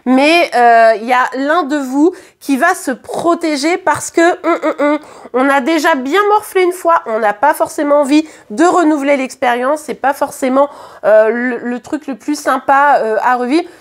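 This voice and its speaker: High-voiced hoarse French woman reading tarot. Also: moderate pace. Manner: chatty, hesitant, many fillers and restarts. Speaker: tarot card reader. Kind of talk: casual tarot reading to camera. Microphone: camera at the reading table.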